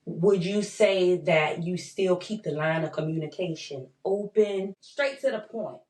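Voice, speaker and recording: A woman's voice: lowish voice, unhurried, darkish tone. A woman hosting an interview, chatty and affirming, often tagging her remarks with "right?" and speaking in casual grammar. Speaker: interview host. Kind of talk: conversational interview. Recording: roomy sound, mic at a distance.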